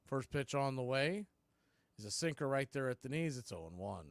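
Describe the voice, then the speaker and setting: A man's voice: medium pitch, animated, moderate pace, no echo. A man hosting live baseball play-by-play, giving punchy calls and folksy, opinionated commentary. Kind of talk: live radio sports commentary.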